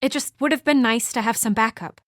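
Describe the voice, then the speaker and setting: A woman's voice: on the high side, brisk, no echo, close to an everyday voice. A woman acting as a composed, earnest young investigator in a noir fantasy video game, serious and restrained with a dry edge. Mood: irritated. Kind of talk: video game voice acting, naturalistic dramatic dialogue.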